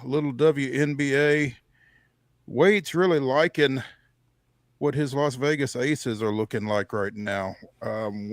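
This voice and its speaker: A man co-hosting a sports radio show, talking casually and deliberately with pauses. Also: medium pitch, no echo, studio quality.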